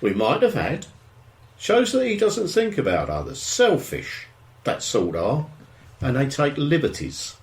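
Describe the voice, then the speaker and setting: An actor playing a grumbling, indignant middle-aged suburban husband in an audio drama, with an exasperated way of talking. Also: male voice, fairly high pitch, huge pitch swings, a little slow, vocal fry, heavily acted. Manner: grumbling, exasperated, mild swearing.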